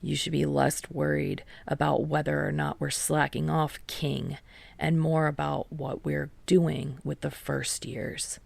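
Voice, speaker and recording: A woman voicing a dry, aloof, sardonic teenage boy in an audiobook, with an unbothered deadpan delivery. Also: low voice, unhurried, creaky, no room sound, voice pushed down, heavily acted. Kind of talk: audiobook character voice.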